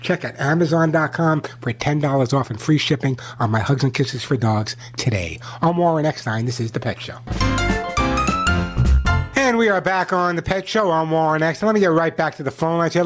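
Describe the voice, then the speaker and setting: A man's voice: animated, faint music behind. Chatty man hosting a radio pet show. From New York. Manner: rapid, repetitive sales pitch and chatty advice. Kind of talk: call-in radio advice.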